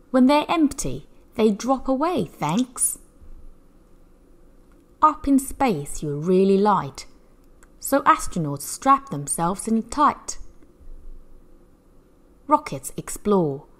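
A woman reading a picture book aloud, in an animated, measured storytelling manner for young children. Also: lowish voice.

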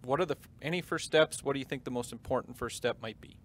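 A man moderating a webinar panel, reading audience questions in a brisk, upbeat way. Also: mid-range voice. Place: stage with dark curtains.

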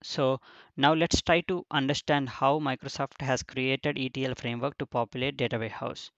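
A man's voice: mid-range voice, steady tone, normal speed, fairly bright tone. A man in a middle register talks through a screen-recorded tutorial one step at a time, often stopping on "uh" and "um". The sound is dry and a little dull, like a home desk mic with no room echo. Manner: explains step by step with frequent fillers and restarts. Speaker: instructor.

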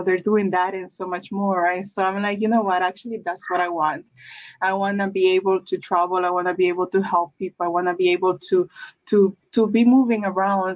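A woman, a guest chatting over a video call, hesitant with many restarts. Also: medium pitch. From El Salvador. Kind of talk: casual interview chat. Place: room with brick wall. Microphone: webcam on a video call. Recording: dull lo-fi sound.

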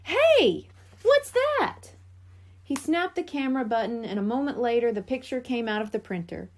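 A woman reading a children's chapter book aloud as narrator, expressive and clearly phrased. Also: fairly high pitch, fast. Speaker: storybook reader.

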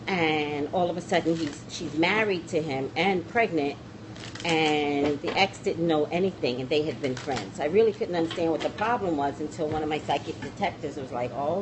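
A woman's voice: sits low, noisy background. A middle-aged woman hosting a psychic livestream, chatting in a rambling way that keeps trailing off and restarting, filmed on a phone at arm's length in a small room. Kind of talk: casual livestream chat. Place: small indoor room against a plain wall. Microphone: phone camera at arm's length.

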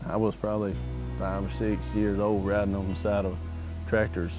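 A man's voice: fairly low pitch, level tone, moderate pace, poor audio. A middle-aged man with a Southern US accent, a farmer talking casually and plainly in an interview. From Arkansas, United States. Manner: folksy, plainspoken. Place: outdoors in an open pasture. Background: music.

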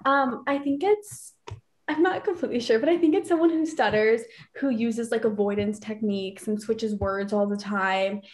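Young woman on a laptop call, kinda high voice, lots of likes and ums, stutters a bit. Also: moderate pace, a little mellow. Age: young adult. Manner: hesitant, many "like" and "um" fillers, stutters at times. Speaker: teen advisory council member. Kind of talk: casual panel discussion on video call. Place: bedroom at home, indoors. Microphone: laptop webcam on video call.

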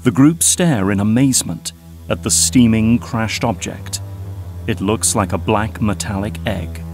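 A man narrating an audio drama in a steady, scripted storytelling manner. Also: lowish voice, unhurried, faint music behind.

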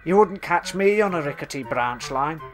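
Storybook casting: a boastful engine with a lifted, loud, sniffy delivery, put on by a male narrator. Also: fairly high pitch, lively intonation, moderate pace, voice pushed up. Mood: sneering. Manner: haughty and scornful. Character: vain proud male red engine.

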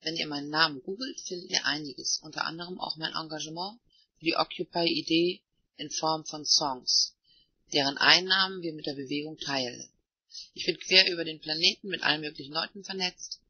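A man moderating an online voice chat, reading participants' messages aloud in a plain, unhurried way. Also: a little high, slightly rough, dry recording. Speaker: meeting moderator. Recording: dull, muffled online voice chat.

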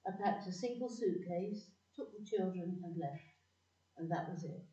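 Woman as a candid, determined character, plain-spoken, warm mellow tone, echoing amateur audio-play recording. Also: fairly low pitch, moderate pace, dull audio, close to an everyday voice. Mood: resolute. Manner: matter-of-fact. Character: resolute, candid woman recounting her own life. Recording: echoey room, distant mic.

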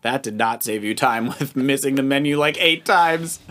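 A man's voice: mid-range voice, normal speed, a bit bright, faint music behind. A man, middle-aged, giving casual let's play commentary in a playful, coaching way as a father guiding his child. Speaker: father guiding child through a video game.

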